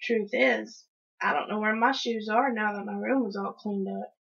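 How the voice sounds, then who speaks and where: A woman's voice: mid-range voice, fairly even intonation, a little fast, fairly bright tone, husky, dull audio. A woman reading a children's story aloud, narrating expressively in the first person. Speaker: storybook reader.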